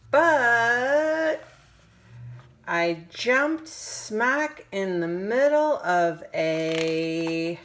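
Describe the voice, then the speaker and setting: A woman reads a children's storybook aloud, taking her time over every phrase. Her pitch moves up and down in an animated way, and she draws words out dramatically with pauses for suspense. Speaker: parent reading a picture book aloud.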